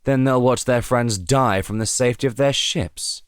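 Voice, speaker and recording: A man plays a young French army commander in an audio drama, arrogant and resolute. The voice is lifted above a low natural register, and the sound is dry and close. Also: moderate pace, clean tone, no echo, lightly acted.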